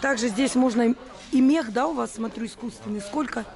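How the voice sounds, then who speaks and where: A woman with a creaky voice doing a casual market walkthrough, questioning vendors and addressing viewers affectionately. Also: on the high side, brisk. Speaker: market vlogger. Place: busy open-air wholesale market. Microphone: handheld camera. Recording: busy crowded market noise.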